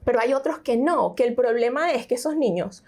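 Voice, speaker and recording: A middle-aged woman with a Venezuelan accent, a teacher giving an interview and explaining reading and pronunciation with lively examples. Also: a little high, fairly quick. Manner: explanatory, animated, frequent fillers. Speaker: teacher and tutor, interview guest. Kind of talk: conversational interview.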